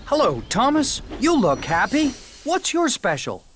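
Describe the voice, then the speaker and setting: A friendly male steam engine from a children's cartoon, exclamatory and very animated in pitch. Music plays underneath. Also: measured pace. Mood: cheerful. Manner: cheerful, exclamatory. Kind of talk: children's cartoon character acting.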